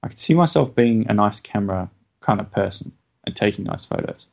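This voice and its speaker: A man co-hosting a casual podcast, talking hesitantly with many fillers and a self-deprecating manner, feeling low on energy. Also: lively intonation, a little fast, gravelly. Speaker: podcast co-host. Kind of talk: casual chat. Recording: dull lo-fi sound.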